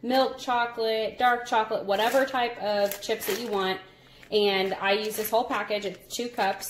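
A young woman narrating a casual cook-along in her home kitchen, chatty and relaxed, laughing at her own slips. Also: mid-range voice, background noise. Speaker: home cooking vlogger. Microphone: phone camera over the counter.

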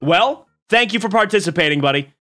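Lively high-voiced young man streamer. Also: a little fast, soft background music. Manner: excitable, laughing, animated. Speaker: streamer host. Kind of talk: casual reaction commentary. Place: small home streaming room. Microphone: boom mic on arm.

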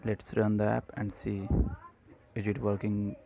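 A man presenting an unscripted screencast coding tutorial in short, halting phrases. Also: fairly low pitch, flat delivery, creaky, mellow.

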